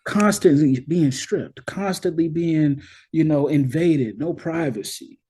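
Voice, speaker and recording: A man with a dark, mellow voice and a husky edge speaks as a guest explaining theory in conversation. He is emphatic and keeps checking in with short tag questions as he builds each point. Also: a little high, slow. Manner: emphatic, checks in with "right?" and "you know what I mean?". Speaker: interview guest, scholar.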